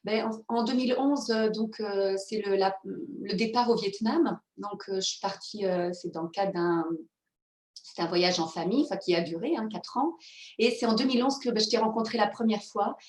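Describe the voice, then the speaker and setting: A woman speaking as a guest in a roomy, echoing space. Her pitch is middling and her delivery is reflective, with frequent fillers and pauses while she searches for words. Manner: reflective, hesitant, many fillers. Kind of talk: casual conversational interview. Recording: echoey room.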